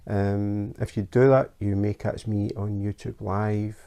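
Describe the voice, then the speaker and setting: A Scottish man hosting a casual how-to craft vlog, chatty and relaxed, with frequent ums and uhs. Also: fairly low pitch, unhurried, gravelly. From Scotland. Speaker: YouTube craft channel host. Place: his home in Denmark.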